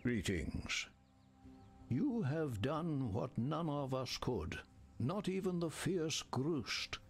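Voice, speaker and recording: A grave camp leader in a video game. The voice is raspy and unhurried, with lively rises and falls, and formal in its wariness. Faint music plays behind. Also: male voice, a little high, heavily acted. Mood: solemn, wary.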